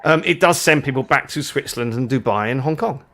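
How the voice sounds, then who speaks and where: A man's voice: medium pitch, brisk, fairly bright tone, a little hiss or hum. A man from the UK, co-hosting a casual live chat and rambling as he repeats words while searching for his point. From United Kingdom. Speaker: co-host.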